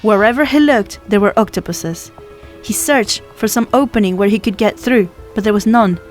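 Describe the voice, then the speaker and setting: A woman's voice: mid-range voice, moderate pace. A woman reading a children's story aloud as scripted narration, as an audiobook narrator does. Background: music.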